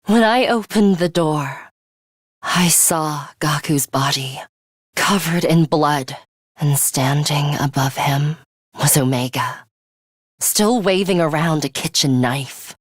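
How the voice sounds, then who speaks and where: Game role: stern, aloof young female fighter, cool and commanding, very measured pace, close dry sound. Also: low-pitched, slightly rough, no room sound, exaggerated voice. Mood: grave. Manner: curt, cool, deliberate, commanding. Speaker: voice actress. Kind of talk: scripted video game character lines.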